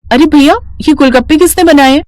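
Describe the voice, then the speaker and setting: A woman voicing a cheerful, excitable young married woman in an animated story, exclaiming emphatically. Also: sits high, fairly quick, dry recording, clean studio sound, pitched above the performer's own voice, close to an everyday voice. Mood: excited.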